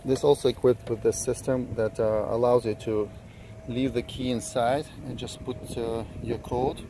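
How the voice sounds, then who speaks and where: A Russian-accented man in his forties talks enthusiastically through a car's features, stopping often for fillers and restarts. His voice sits at an ordinary middle pitch and is picked up by a phone held at arm's length inside a parked car. A steady air-conditioning hum is clearly heard behind him. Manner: enthusiastic, halting with many fillers. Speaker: car reviewer. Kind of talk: casual car walkaround vlog. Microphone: phone camera at arm's length.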